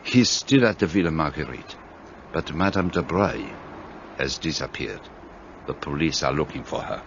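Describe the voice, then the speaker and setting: A man's voice: a bass voice, expressive, moderate pace, highs cut off, slight background noise. An actor playing a shrewd, fussy Belgian detective in a radio play, speaking precisely and courteously as he lays out his reasoning. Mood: explaining.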